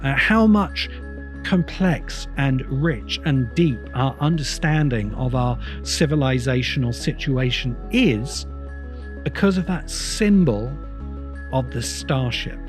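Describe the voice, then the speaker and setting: Deliberate man musing over background music. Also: mid-range voice, lively intonation. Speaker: host of a science fiction video essay podcast. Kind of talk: reflective spoken essay, semi-improvised monologue.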